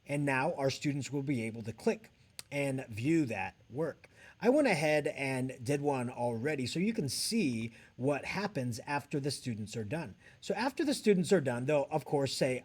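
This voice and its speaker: Male edtech trainer, medium pitch, explaining on-screen steps in a tutorial. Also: breathy edge.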